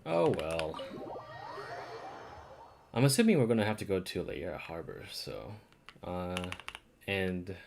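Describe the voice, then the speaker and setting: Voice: low voice, very animated, slow, gravelly, long pauses, darkish tone, slight background noise. A game streamer giving casual playthrough commentary, informally musing aloud. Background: game music, keyboard typing.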